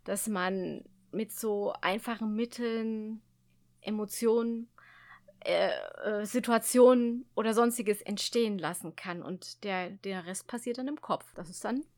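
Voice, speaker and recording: A woman's voice: medium pitch, unhurried, a little mellow. A woman hosting a casual radio interview in a conversational style.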